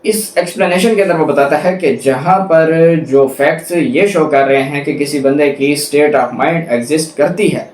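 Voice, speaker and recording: A man's voice: fairly high pitch, unhurried, hardly any pauses. A man lecturing on law, reading legal text aloud and then explaining it step by step in a didactic way. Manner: didactic, rephrases and repeats points step by step. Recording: roomy echo, distant microphone.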